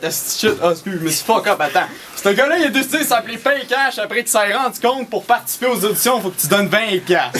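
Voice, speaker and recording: Un jeune homme à l'accent québécois lance des insultes en battle rap, d'un ton cru et plein de jurons. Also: male voice, extremely high pitch, fairly bright tone, a little hiss or hum. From Quebec. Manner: crude taunting rap, swearing, loses his lines. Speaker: battle rapper. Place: small indoor shop venue.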